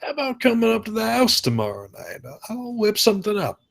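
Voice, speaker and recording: A man's voice: high-pitched, wildly swooping pitch, fairly quick, gravelly, dry recording, clean studio sound, full character voice. A man playing an anxious, caring father in his fifties in a screenplay table read. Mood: worried, caring.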